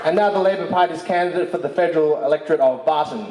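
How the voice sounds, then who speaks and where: A man acting as moderator, briskly introducing speakers at a community forum. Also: on the high side, a crowd in the background. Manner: brisk, announcing. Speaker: event moderator. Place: community event hall. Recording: echoey hall with faint noise.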